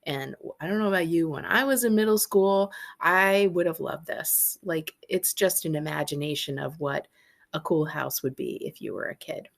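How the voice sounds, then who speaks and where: A woman's voice: low-pitched, moderate pace, gravelly. A woman, a librarian reading a children's book aloud with casual asides.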